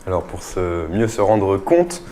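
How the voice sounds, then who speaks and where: Guy hosting a radio interview, voice on the low side, presenting and asking questions. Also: very animated, measured pace, mellow. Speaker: radio host.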